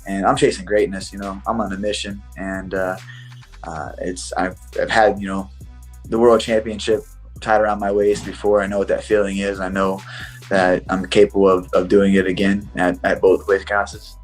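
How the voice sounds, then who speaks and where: A man, a professional fighter, giving a casual interview answer in a halting but self-assured way. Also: low-pitched, level tone, soft background music, low-quality recording.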